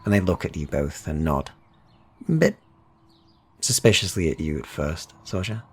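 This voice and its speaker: A man narrating a tabletop fantasy game as game master, descriptive and measured, with pauses. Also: low-pitched, expressive, darkish tone, breathy edge.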